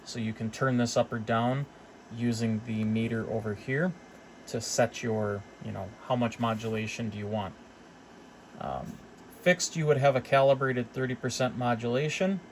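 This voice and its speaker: A man giving a casual, unscripted equipment demonstration, thinking aloud in a halting way as he explains. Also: mid-range voice. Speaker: hobbyist repairer demonstrating test equipment. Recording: clear steady hum of background noise.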